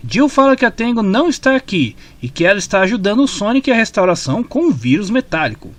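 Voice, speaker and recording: A man's voice: high voice, very animated, fast. A man with a Brazilian accent reading a scripted retelling of a comic story as narrator. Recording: music bed behind the voice. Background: clear background music.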